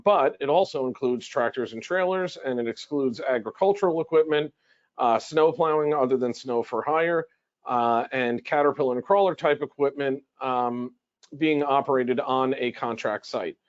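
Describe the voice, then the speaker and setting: A man's voice: medium pitch, lo-fi sound. A man presenting a legal webinar in a conversational, explanatory way, posing questions and then answering them. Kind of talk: webinar lecture, explaining from notes.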